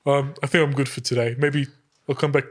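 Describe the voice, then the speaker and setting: A man improvising as a player in a comedy tabletop roleplay, talking casually with a hesitant, halting delivery. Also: mid-range voice, little pitch movement.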